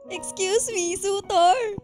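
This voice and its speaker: A woman's voice: very high voice, slow pace, a little mellow, soft background music. A woman acting a coy, candid young woman in love, talking conversationally in a radio romance drama. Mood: excited, chatty.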